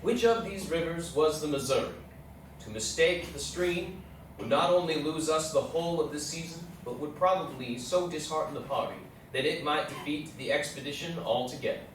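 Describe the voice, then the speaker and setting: A man's voice: on the high side, natural voice. Very echoey, formal man playing an explorer captain. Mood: grave concern. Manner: measured, formal, literary. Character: earnest male American explorer captain, reading his journal. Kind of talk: readers theatre journal recitation. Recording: echoey hall, distant mic, faint noise.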